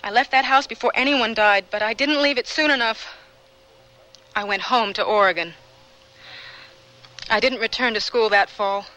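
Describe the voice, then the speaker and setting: An actress playing a troubled young woman with a guilty past, confessing earnestly and haltingly in a radio drama. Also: fairly high pitch, sharp tone, rough recording. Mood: haunted, intense.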